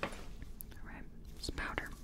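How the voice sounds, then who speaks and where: A woman whispering softly and gently in a breathy voice, reassuring the listener in a close-up ASMR personal attention roleplay as a makeup artist. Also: a little slow. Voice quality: soft, breathy, mostly whispered. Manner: gentle, reassuring, murmured repetitions.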